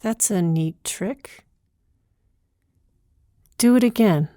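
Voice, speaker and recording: A woman's voice: medium pitch, very slow, warm tone. A woman hosting a podcast as herself, talking informally in a hesitant, conversational, wry way. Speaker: podcast creator and host, playing herself. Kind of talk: informal podcast talk in an audio drama.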